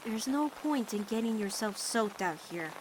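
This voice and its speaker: A woman's voice: on the high side, lively intonation, moderate pace, background noise, lightly acted. A woman voicing a caring, protective teenage girl in a cartoon fan dub, earnest and emphatic as she pleads with her girlfriend. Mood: gentle worry. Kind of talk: animated-series fan dub drama acting.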